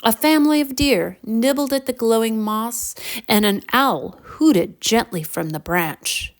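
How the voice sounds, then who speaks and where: A woman narrating a children's bedtime story in an expressive, deliberate storybook manner. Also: on the high side.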